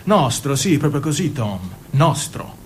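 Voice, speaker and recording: An actor playing Jimmy, a scheming, smooth-talking factory man who wheedles and then bursts into rally-style speeches, in a radio play. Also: animated, measured pace. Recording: radio broadcast with faint rumble.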